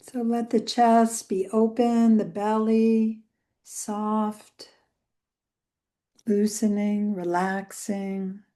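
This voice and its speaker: A woman leading a guided meditation in a gentle, soothing way, with soft phrase endings that trail into breath. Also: medium pitch, level tone. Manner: gentle, soothing, unhurried with long pauses.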